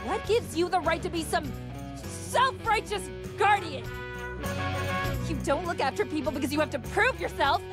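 A brash, drunk young woman acted out by a woman scolds in a high voice, blunt and scornful. It is a visual novel character, with music playing clearly behind. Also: normal speed. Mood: indignant. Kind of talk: dramatic visual novel read-aloud.

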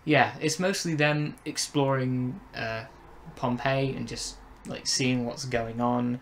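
Young man, tentative co-host, halting casual delivery, average pitch, slightly noisy bedroom recording. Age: young adult. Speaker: co-host of review show. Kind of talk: casual review chat. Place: small bedroom, indoors. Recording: noisy room ambience.

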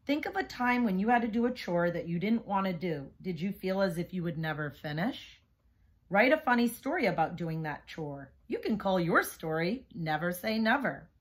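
A woman in her thirties or forties, a teacher doing a children's read-aloud in a slightly husky voice and putting questions to young listeners. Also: lowish voice, animated, moderate pace. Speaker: teacher reading a picture book aloud. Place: small room indoors, seated on a couch by a window.

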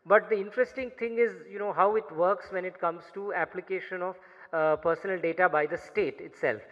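A man in his thirties with an Indian English accent, giving a conference talk at a podium microphone. He explains at a measured pace, with many fillers and restarts. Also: high-pitched, steady tone. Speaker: panel speaker. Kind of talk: conference presentation. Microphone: podium gooseneck mic. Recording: muffled reverberant hall recording.

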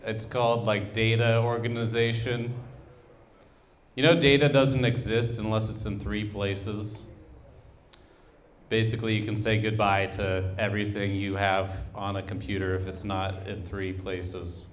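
A man in his thirties doing absurdist stand-up into a handheld mic, rambling in an awkward, deadpan, halting way. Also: lowish voice, a little hiss or hum. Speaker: stand-up comedian. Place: small comedy club stage, indoors. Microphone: handheld microphone.

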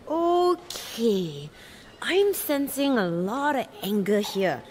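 A woman playing a calm, concerned young female friend in an animated game cutscene. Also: on the high side, slow, a little hiss or hum.

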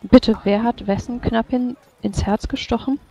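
A woman's voice: a little high, fairly even intonation, moderate pace, crowd noise. A woman running a tabletop roleplay as game master, speaking politely, formally and with some hesitation. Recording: dull lo-fi microphone.

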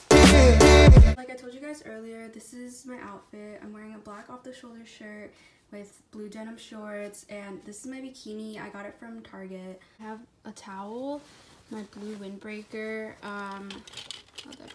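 A woman's voice: medium pitch, steady tone, unhurried, over music. A young woman chatting casually to her phone camera in a day-in-the-life vlog, upbeat and full of "like" fillers. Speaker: vlogger. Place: bedroom at home, later outdoors on a windy beach. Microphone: phone camera at arm's length.

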